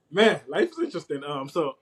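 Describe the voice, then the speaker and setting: A man's voice: a little high, fairly bright tone, breathy edge. Easygoing informal man chatting. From West Africa (Liberia), later the US from age eleven. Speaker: podcast guest, IT systems administrator. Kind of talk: casual interview chat.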